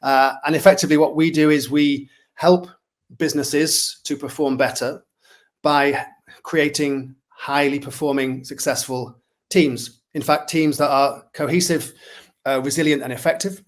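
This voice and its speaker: A man's voice: fairly high pitch, steady tone, unhurried. A man in his forties from Manchester with a Mancunian English accent, a friendly guest who talks about his career in a self-deprecating way over a video call. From Manchester, England. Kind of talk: casual interview chat. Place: indoor room at home. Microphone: webcam on a video call.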